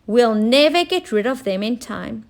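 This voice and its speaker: Casting: woman reader as gloomy storybook lad, high-pitched, sniffly, whining and easily defeated. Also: lively intonation, a little slow, creaky. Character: poor, despondent, sniffy boy who gives up.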